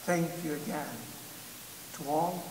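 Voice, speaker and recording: Slow-spoken older man, echoey church. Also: fairly high pitch, steady tone. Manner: slow, measured, with pauses and fillers. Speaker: church leader. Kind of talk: recorded video message. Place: video played on a screen in a large church. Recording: echoey church hall with hiss.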